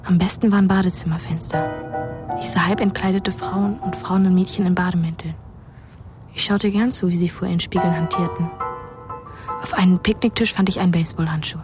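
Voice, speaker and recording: A woman acts as the remembering voice of a furtive, bereaved boy in a radio play. Her narration is low, laconic and detached, with music clearly behind her. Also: steady tone, fairly quick, close to an everyday voice.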